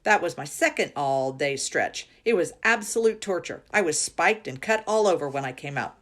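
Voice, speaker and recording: A woman's voice: low voice, expressive, normal speed, fairly bright tone. A woman voicing a feisty older schoolgirl in a storybook, telling tales in an emphatic, boastful, battle-worn way. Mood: aggrieved, dramatic.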